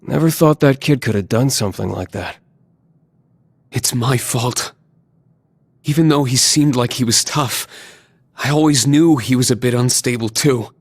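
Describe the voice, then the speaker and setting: An actor playing a conscientious, guilt-burdened young instructor, speaking in a subdued, serious way in video game dialogue. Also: male voice, medium pitch, measured pace, long pauses. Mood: remorseful.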